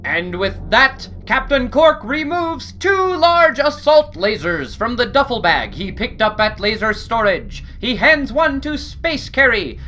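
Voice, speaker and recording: A woman's voice: a little high, fairly bright tone. Lady narrator for an adventure serial, dramatic and swoopy, bit muffled. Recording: dull lo-fi sound with faint noise.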